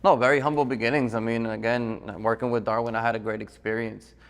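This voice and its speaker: A man with a medium-pitched voice presents as the host of a course interview. He talks conversationally as he introduces and questions a guest. His voice rings with a long, hall-like reverb. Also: level tone, fast talker, clean tone.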